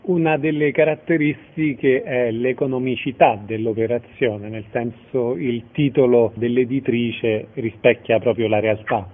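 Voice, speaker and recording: A man's voice: mid-range voice, fairly even intonation, measured pace, few pauses, phone-quality sound. A man hosting a radio interview and putting questions to guests. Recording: band-limited radio broadcast sound.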